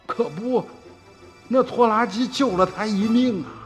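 Slow older storyteller. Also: male voice, sits high, music in the background. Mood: warm. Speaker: narrator. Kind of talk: radio drama narration.

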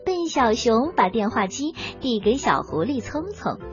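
A woman narrating a scripted children's story with expressive, acted storytelling. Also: a little high, a little slow, highs cut off, soft background music.

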